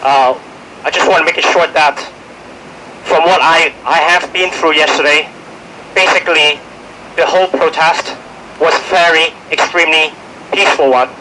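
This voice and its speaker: Sick Hong Kong man, hoarse, urgent press statement, faint street hum. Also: on the high side, steady tone, normal speed, pauses often. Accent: Hong Kong English. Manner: urgent, pleading, many fillers and restarts. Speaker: finance worker speaking at a press conference. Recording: outdoor press event with faint street noise.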